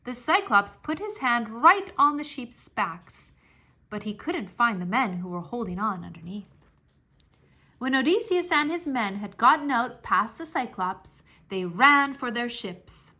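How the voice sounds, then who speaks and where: A woman reading a scripted story aloud for children in an expressive, deliberate storytelling manner. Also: a little high. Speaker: storybook narrator, English teacher.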